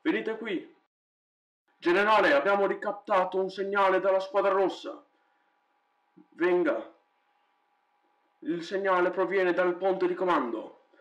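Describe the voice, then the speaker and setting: A man's voice: high-pitched, measured pace, long pauses, fairly bright tone, highs cut off. Man playing an earnest clone soldier, echoey. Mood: businesslike. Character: dutiful clone army captain and soldiers, earnest military men. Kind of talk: amateur stop-motion fan dub. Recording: echoey home recording.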